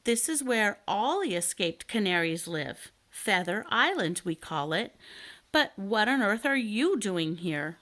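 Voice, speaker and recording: A woman's voice: medium pitch, big pitch swings, measured pace, a bit bright. A woman reading a children's storybook as a chatty, worried little canary, polite and talkative. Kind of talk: children's storybook read aloud.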